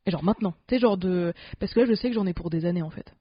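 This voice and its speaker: A woman's voice: lowish voice, racing, slightly rough, no echo. A woman co-hosting a podcast in casual chat, talking loosely with slang, fillers and restarts. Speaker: podcast co-host. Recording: dull lo-fi podcast sound.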